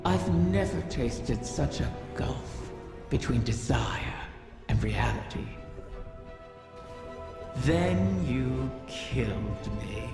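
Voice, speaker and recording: Game role: ancient female wish dragon. Gravelly, theatrical, ominous; echoing, music underneath. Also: medium pitch, exaggerated voice. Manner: slow, deliberate, theatrical, menacing. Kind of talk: video game voice acting. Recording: cavernous reverb, loud music behind.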